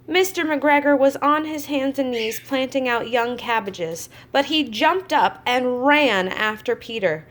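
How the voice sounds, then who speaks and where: Female storybook reader, higher-pitched voice, expressive teacher delivery for kindergarten listeners, slips in conversational asides. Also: unhurried, few pauses. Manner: expressive, acts out exclamations, adds chatty asides to young listeners. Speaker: kindergarten teacher reading to class. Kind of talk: children's storybook read-aloud.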